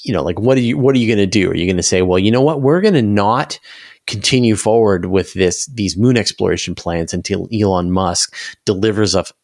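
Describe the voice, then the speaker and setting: A man's voice: fairly low pitch, brisk, gravelly, a little mellow, no echo, studio quality. A man talking conversationally as an interview guest, explaining things at length and restarting phrases as he reasons aloud. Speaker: interview guest, space news commentator.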